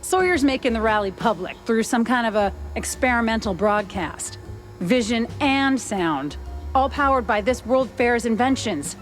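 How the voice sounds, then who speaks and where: A woman's voice: on the high side, lively intonation, moderate pace, faint music behind, lightly acted. A woman playing a sassy, jaded 1930s newspaper reporter mouse in a noir cartoon game. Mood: businesslike. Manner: wry, world-weary.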